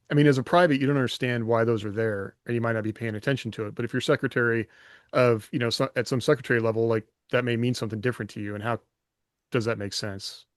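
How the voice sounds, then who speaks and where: A man talks rapidly and casually as a podcast host, working through ideas by posing questions. His voice sounds close and dry, with no room around it. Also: medium pitch, dry recording. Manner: poses rhetorical questions. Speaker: podcast host, former soldier.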